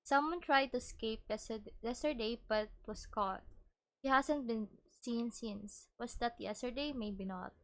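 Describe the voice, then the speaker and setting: Higher-voiced woman game streamer. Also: a little slow. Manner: expressive, stumbles over words when reading aloud. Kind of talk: casual playthrough commentary, reading game text aloud.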